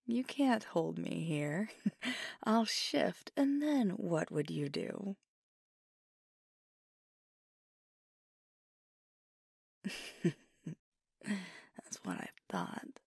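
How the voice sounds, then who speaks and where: A woman voicing a fiery, stubborn werewolf girlfriend in a whispered ASMR roleplay, slow and teasing with small laughs. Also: mid-range voice, vocal fry, husky, no echo, clean studio sound, exaggerated voice. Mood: defiant teasing. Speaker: voice actor.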